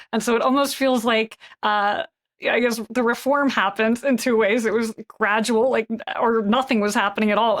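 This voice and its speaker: A woman on a podcast who talks in a friendly, unhurried way. She pauses often with "um" as she sets up the next segment. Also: mid-range voice, a bit bright. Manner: friendly, hesitant, frequent "um". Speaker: podcast co-host. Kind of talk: casual chat and segment intro.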